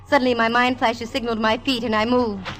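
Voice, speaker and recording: A woman's voice: on the high side, flat delivery, quick pace, a bit bright, slight background noise, lightly acted. An actress as a sassy 1940s radio lady detective. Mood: tense narration. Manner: wry, punchy delivery. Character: sassy, wisecracking female private eye narrating her case. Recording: vintage radio broadcast with music behind.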